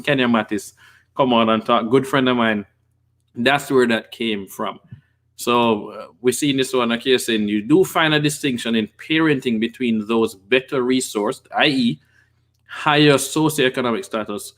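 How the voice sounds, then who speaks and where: A Jamaican man in his forties hosts a casual livestream from his home office, speaking into a desk microphone with a pop filter. He tells stories with energy and gestures, and he reads out viewer comments and answers them. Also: mid-range voice. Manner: animated storytelling with hand gestures. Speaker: livestream host.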